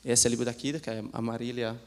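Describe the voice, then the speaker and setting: A male law professor addresses a seminar at an ordinary middle pitch. He speaks haltingly, repeating words and restarting often as he mixes languages. His voice rings with the long reverb of a lecture hall. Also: little pitch movement, a little mellow. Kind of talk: seminar talk.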